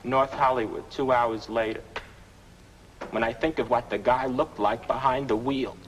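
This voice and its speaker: A man's voice: medium pitch, fairly even intonation, normal speed, natural voice. Indignant, cocky truck driver, played by a man. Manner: colloquial, aggrieved, inverted phrasing. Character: indignant, cocky working-class truck driver, put-upon and argumentative. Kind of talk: 1950s film comic character acting. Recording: noisy, reverberant old film soundtrack.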